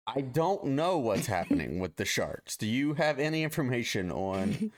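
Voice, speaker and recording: A man's voice: mid-range voice, big pitch swings, normal speed. A man co-hosting a live-streamed podcast, chatting casually and joking, rambling and stumbling over his words. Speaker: podcast co-host. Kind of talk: casual chat.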